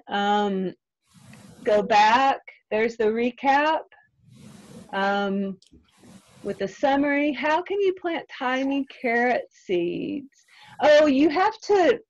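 A middle-aged woman, answering audience questions in a friendly, chatty, self-deprecating way. She is losing her voice and clears her throat now and then. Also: medium pitch, dry recording. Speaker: extension weed scientist answering audience questions. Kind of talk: casual Q&A at end of webinar. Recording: dry, slightly dull webinar audio.

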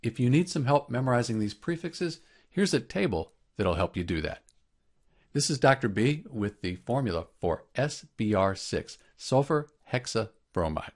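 Man teaching with very lively intonation. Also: medium pitch, moderate pace. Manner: explanatory, step-by-step. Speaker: chemistry teacher. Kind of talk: scripted instructional narration. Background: voice-over for a screen tutorial.

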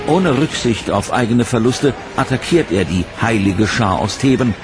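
A man narrating a history documentary from a script, in a measured, dramatic way. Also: mid-range voice, animated, loud music behind the voice.

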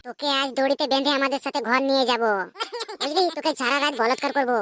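A woman voicing bawdy young cartoon village women who tease and scold in quick bursts. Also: fairly even intonation, a bit bright, a little hiss or hum. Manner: quick, shrill, teasing. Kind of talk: cartoon comedy dubbing. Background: music.

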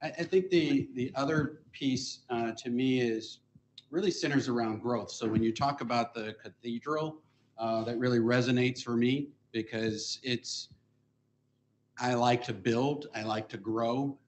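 A man's voice: medium pitch, unhurried. Man on a panel, faint noise behind. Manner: hesitant starts, then building to emphasis. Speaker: panelist, association executive. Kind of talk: panel discussion answers. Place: auditorium stage, seated.

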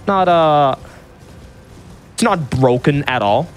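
A man's voice: a little high, slow, faint music behind. A man in his twenties, a speedrunner, chatting casually and joking at himself while he plays. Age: 20s. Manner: lively, chatty, self-mocking. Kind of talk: casual commentary while playing.

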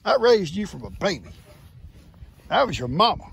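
A man, hoarse from losing his voice, chatting and teasing his farm animals outdoors into a phone held at arm's length. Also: high-pitched, sing-song, normal speed, fairly bright tone, slight background noise. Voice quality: gravelly. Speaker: farm vlogger. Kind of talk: casual talk to animals and camera. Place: outdoors under a shade tree on a farm.

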